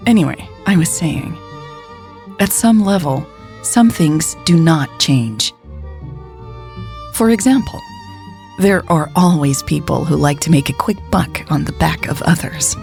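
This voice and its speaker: A woman narrating scripted audio fiction in a husky, creaky voice. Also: sits low, unhurried, long pauses, darkish tone, faint music behind. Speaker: podcast storyteller and narrator.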